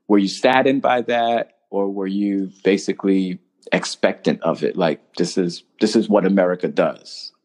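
A man hosting a conversational podcast interview in an affirming, appreciative way. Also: sits low. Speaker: podcast host.

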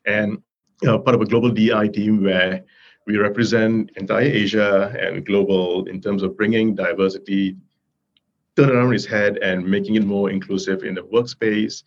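A man's voice: on the low side, steady tone, normal speed. A man speaking as a podcast guest, introducing himself conversationally with earnest passion. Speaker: podcast guest, diversity and inclusion professional. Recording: slightly dull, lo-fi sound.

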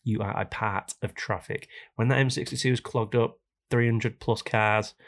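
A man hosting a casual podcast, hoarse and raspy from a cold, ranting jokily about things that annoy him. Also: on the low side, fairly even intonation, normal speed. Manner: jokey, complaining rants. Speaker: podcast host.

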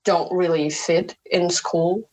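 Slow, hesitant woman. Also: fairly low pitch, fairly even intonation, background noise. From Indonesia. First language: Indonesian. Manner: hesitant, many fillers and restarts, laughs often. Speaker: podcast guest. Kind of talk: personal interview talk.

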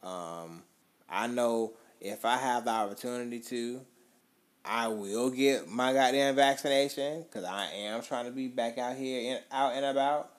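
A man rambles through a late-night podcast chat. His voice sits at a medium pitch, his speech is full of swearing, and he often trails off into a quieter mumble. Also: measured pace. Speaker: podcast co-host. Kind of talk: casual chat.

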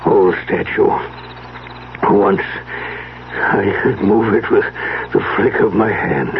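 An aged, dying magician, full of regret. The words come slowly and with effort, in theatrical radio style, on a muffled, faintly noisy old recording. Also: male voice, fairly low pitch. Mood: weak, regretful. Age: older. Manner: halting, laboured. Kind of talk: 1970s radio drama acting.

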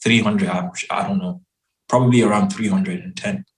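A young man from West Africa chatting as a guest, emphatic and animated, often calling his listener bro and man. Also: lowish voice, normal speed, reverberant, rough recording. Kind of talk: casual conversation.

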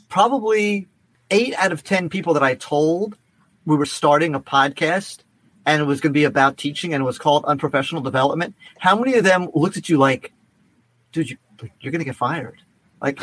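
Male podcast co-host, husky voice recorded close and dry, loose repetitive chatty delivery. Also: fairly high pitch, a bit bright, no echo, rough recording. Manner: rambling, repeats himself, acts out quotes. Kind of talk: casual chat.

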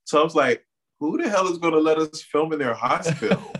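A man's voice: a little high, a little fast. A man from the Bahamas raised in Miami, a guest in an interview, telling stories with lots of "you know" fillers. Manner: animated storyteller, many "you know" fillers. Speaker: artist and community organizer guest. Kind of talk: interview conversation.

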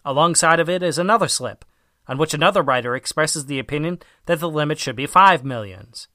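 A man narrates a scripted essay in a crisp-edged, bright voice pitched a little high. His delivery is measured and formal, leaning firmly on key words. The sound is dry, with no room around it. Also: animated, no room sound. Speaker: audiobook reader.

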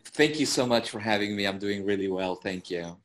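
A man from El Salvador, a guest court interpreter, telling stories in a relaxed interview with fillers and chuckles. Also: on the low side, a little fast. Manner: chatty storyteller with fillers and chuckles. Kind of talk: casual interview talk.

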